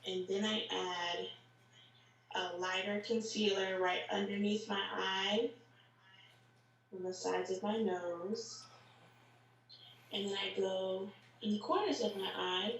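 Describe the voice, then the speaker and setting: A woman with a slightly raspy, tired voice after a long workday, chatting casually with lots of fillers as she explains each step of a makeup tutorial. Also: mid-range voice, steady tone, takes it slowly, pauses often, recorded from a distance, dull audio. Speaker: makeup vlogger. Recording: roomy echo, voice set back from the mic, faint hiss.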